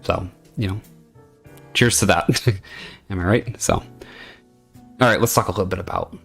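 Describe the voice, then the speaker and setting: Male streamer, deep and gravelly, earnest and hesitant, casual home chat. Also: measured pace, a bit bright, faint music behind. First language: English.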